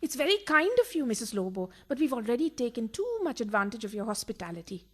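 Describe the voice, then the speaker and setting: An actress playing an exasperated mistress who poses as a fussy wife in a radio farce, bossy yet over-polite when cornered. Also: female voice, a little high, sing-song, quick pace, fairly bright tone, breathy edge, heavily acted. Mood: anxious, polite. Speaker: actor.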